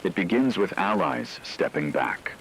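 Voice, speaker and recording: A man playing a grave radio anchor, delivering a scripted emergency broadcast in a measured, formal manner. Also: fairly low pitch, sing-song, vocal fry, fairly bright tone, a little hiss or hum, full character voice, rough recording.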